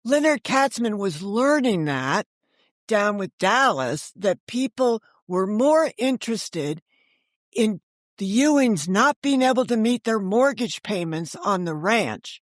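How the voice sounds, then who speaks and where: Chatty lady guest telling stories, wanders off on tangents, really dry sound, mid pitch. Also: sing-song, slow pace, dry recording. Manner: chatty, digressive, acts out others' quoted remarks. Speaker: interview guest, author and storyteller. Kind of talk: animated anecdotal storytelling in casual conversation.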